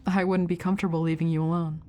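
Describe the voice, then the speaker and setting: Woman acting a teasing, caring older sister, low-toned. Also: level tone, quick pace, natural voice. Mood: flirty, caring.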